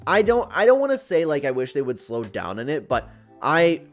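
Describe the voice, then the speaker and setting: A young man with a fairly high-pitched voice talks as a gaming commentator. His delivery is informal and chatty, as if hanging out with his viewers. Faint music plays behind him. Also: huge pitch swings, normal speed. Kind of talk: casual commentary reacting to read-out viewer posts.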